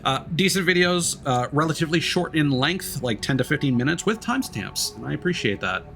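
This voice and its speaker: A man's voice: a little high, expressive, a little fast, creaky, fairly bright tone, faint music behind. A man livestreaming a game, chatting casually in an easygoing, rambling, hesitant way. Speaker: live streamer. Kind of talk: casual game stream chat.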